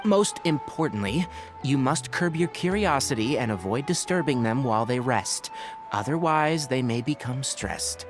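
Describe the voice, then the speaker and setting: An actor playing a patient young male ranger scholar in a game, measured and explanatory. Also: medium pitch, close to an everyday voice. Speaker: game character.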